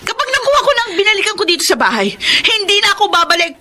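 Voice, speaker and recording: A woman's voice: extremely high pitch, sing-song, very fast, heavy background noise. A woman playing an aggrieved, defiant younger sister in a Filipino radio drama, with an emotional, melodramatic delivery.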